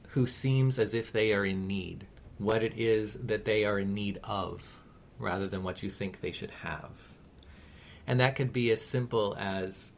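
A man co-hosting a talk radio show, speaking in an earnest, measured and encouraging, reflective way. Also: lowish voice, slight background noise.

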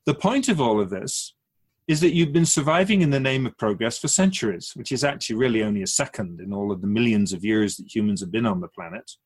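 A man playing a wise otherworldly keeper of souls in a radio drama, measured and didactic, with gentle, wry teasing. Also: on the low side, lively intonation, husky. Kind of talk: conversational radio drama acting.

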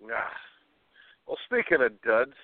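An actor playing a playful, teasing schoolteacher with a droll, theatrical manner in a radio play. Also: male voice, medium pitch, sing-song, slow, dry recording. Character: playful bearded schoolteacher, a woman's pretend boyfriend. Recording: narrow phone-like sound.

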